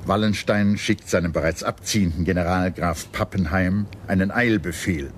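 A man narrating a scripted history documentary in a measured, serious manner. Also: deep voice, a little hiss or hum.

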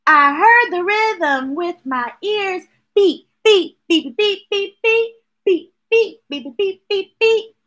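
Story time host: American woman, bright voice, unhurried pace, expressive and rhythmic with kids' books. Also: very high-pitched. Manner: expressive, playful, chanting repeated words rhythmically. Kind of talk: animated picture book read-aloud with chatty asides. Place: small indoor room with shelves of books. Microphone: webcam or device at arm's length.

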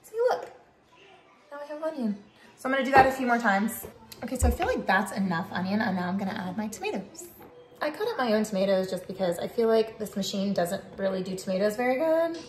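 A woman's voice: mid-range voice, lively intonation, fast, over music. A young woman in her home kitchen explaining a recipe step by step, upbeat and casual. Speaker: vlogger, trucker wife. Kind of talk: casual cooking vlog narration. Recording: echoey kitchen, faint hiss.

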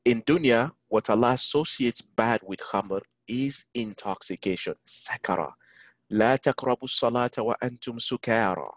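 A man teaching an online Quran lesson, engaging his listeners and reciting Arabic verses quickly. English is not his first language. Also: mid-range voice, no room sound. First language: neither Arabic, English nor French. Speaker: imam teaching a Quran class. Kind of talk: online Quran lesson over a video call. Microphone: video call.

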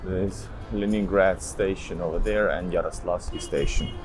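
A man's voice: deep voice, steady tone, normal speed, over music. A man in his thirties walking through a railway station, filming himself at arm's length and talking casually to viewers with many fillers. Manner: casual, thinking aloud with many fillers, addresses viewers as guys. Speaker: travel vlogger. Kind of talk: walking travel vlog. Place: outdoors at a city railway station, then inside a large station hall. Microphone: handheld camera at arm's length.

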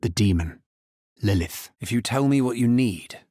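An actor playing a grave, world-weary necromancer hero in a fantasy video game, speaking solemnly in measured, understated lines. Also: male voice, medium pitch, expressive, dark tone, no room sound, studio quality, lightly acted. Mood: stern, urgent.

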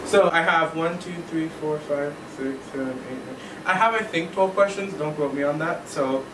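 Higher-voiced teenage boy, roomy sound. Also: moderate pace. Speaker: student interviewer. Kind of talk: casual vlog chat and interview. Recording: roomy, noisy, distant camera mic.

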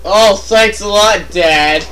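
High-pitched, exasperated man commenting on a game. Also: takes it slowly, bright, forceful tone, low-quality recording. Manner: exasperated, sarcastic complaining. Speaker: let's play co-commentator. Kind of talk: casual joking game commentary.

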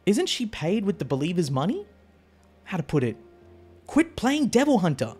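A man reading aloud as a gossiping high school student, in quick, high chatter. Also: wildly swooping pitch, darkish tone, breathy edge, soft background music, heavily acted. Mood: gossipy.

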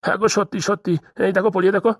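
A woman's voice: mid-range voice, steady tone, racing, no room sound, studio quality, natural voice. A woman voicing a timid, anxious cartoon man, a lawyer who pleads and frets in a rural Bengali accent. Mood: panicked. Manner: pleading, fretful, stammering. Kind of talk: comic cartoon dialogue.

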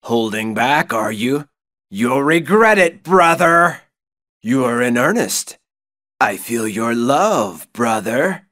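Bright, taunting young knight. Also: male voice, mid-range voice, expressive, slow pace. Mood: mocking menace. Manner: mocking, theatrical, intense. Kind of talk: video game villain acting.

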